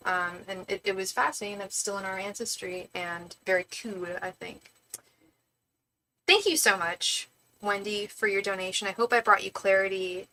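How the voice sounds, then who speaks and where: Female tarot live-streamer, bright voice, mid pitch, chatty and rambling, effusive girlfriend-style familiarity. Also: rough recording.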